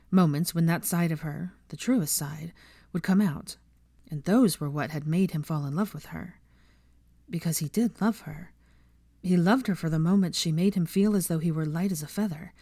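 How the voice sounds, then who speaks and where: A woman's voice: low voice, dark tone, dry recording, studio quality. A woman reading fiction aloud as an audiobook narrator, delivering scripted story narration.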